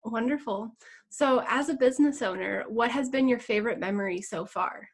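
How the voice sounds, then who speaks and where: A woman's voice: a little high, moderate pace, slightly rough. A woman hosting a conversational interview, polite and affirming as she asks questions. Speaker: interview host.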